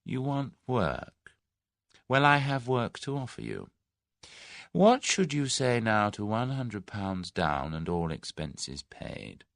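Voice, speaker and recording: A man reading the part of a large, smooth-talking, scheming businessman in an audiobook, measured and persuasive. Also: fairly low pitch, very animated, no room sound, studio quality, pitched above the performer's own voice, full character voice.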